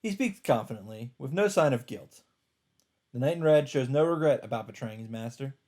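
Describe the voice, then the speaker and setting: A man's voice: mid-range voice, expressive, a little fast, darkish tone. A man reading visual novel narration aloud dramatically as a let's player. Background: plucked-string game music.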